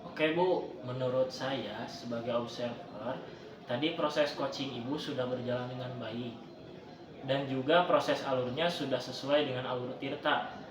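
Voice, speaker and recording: A man's voice: mid-range voice, steady tone, measured pace. Distant man in an echoey, noisy room. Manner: polite, conversational. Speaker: observer giving feedback. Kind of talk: semi-formal feedback and questioning.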